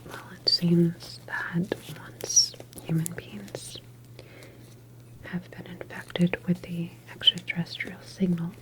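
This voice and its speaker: Slow whispering woman as an ASMR doctor. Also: fairly low pitch, level tone, poor audio. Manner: slow, deliberate, reassuring clinical delivery. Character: calm female government doctor examining a patient. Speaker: ASMR roleplay performer playing a doctor. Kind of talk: whispered ASMR medical roleplay.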